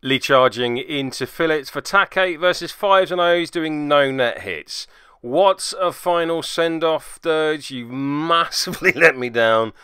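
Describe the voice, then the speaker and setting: Bright, crisp-voiced man narrating a tabletop game. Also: a little high, animated, unhurried, no echo, clean studio sound, faint music behind. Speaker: podcast host and player.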